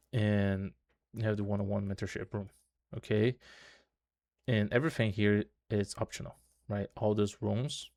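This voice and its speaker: A man with a low voice, Portuguese being his first language, guides viewers through a screen share in loose, repetitive English. His delivery stays flat and even. The sound is dry and close. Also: dry recording. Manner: loose repetitive tutorial talk, constant "okay" and "right" tags. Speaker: trading channel host. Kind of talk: casual screen-share walkthrough.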